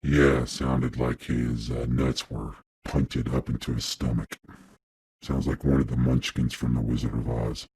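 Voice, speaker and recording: A man talking slowly through a pitched-down voice changer, with a raspy voice. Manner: slow, flat drawl.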